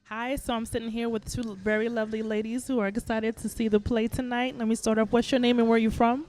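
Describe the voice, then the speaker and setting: A woman's voice: a little high, level tone, fast, a little mellow, faint music behind. A woman interviewing theatregoers for a community TV channel, friendly and joking in her questions. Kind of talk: casual vox-pop interviewing.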